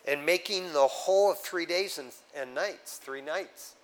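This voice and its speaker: A man preaching a teaching sermon, walking his congregation through scripture point by point. His voice sits a little high for a man, and he talks in an animated, conversational way, tossing in casual asides to keep his listeners with him. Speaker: pastor.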